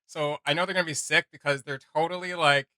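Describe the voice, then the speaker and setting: A man's voice: fairly high pitch, fairly even intonation, quick pace, pushed and bright, no echo, studio quality. A man hosting a reaction video, chatting casually to introduce it.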